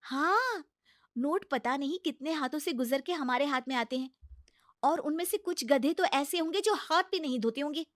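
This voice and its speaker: Woman voicing a high-pitched nagging young daughter-in-law. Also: lively intonation, moderate pace, no room sound, clean studio sound. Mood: insistent. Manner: insistent, pleading, scolding. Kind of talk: acted comic family story dialogue.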